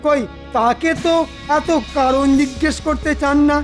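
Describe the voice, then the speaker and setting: A man's voice: extremely high pitch, fairly even intonation, measured pace, soft background music. A man playing a scheming, petulant, self-important cartoon minister, whiny and argumentative. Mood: indignant. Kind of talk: Bengali cartoon voice acting.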